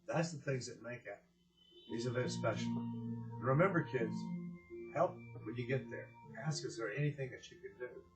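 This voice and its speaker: A man reading aloud and hosting for children, with expressive, drawn-out phrasing and a gentle, encouraging way of addressing them. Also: medium pitch, over loud music, low-quality recording. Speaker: children's story reader and host.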